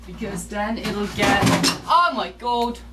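A woman's voice: medium pitch, lively intonation, takes it slowly. A young woman vlogging casually in her home kitchen, rambling and chatting to her pet bird as she makes lunch. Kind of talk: casual home cooking vlog. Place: small home kitchen, indoors. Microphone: handheld camera. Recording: noisy camera audio.

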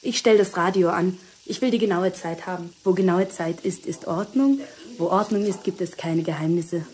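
A woman's voice: on the low side, moderate pace, a little mellow, close to an everyday voice. A woman playing an insistent, dismissive mother of a grown daughter in a radio drama. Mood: brisk, decided. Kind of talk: German radio drama acting.

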